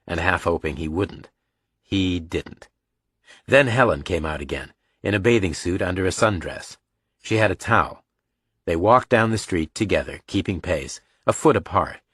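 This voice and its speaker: Very deep, husky male audiobook narrator. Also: a bit bright, no room sound, clean studio sound. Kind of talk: scripted narration of a crime story.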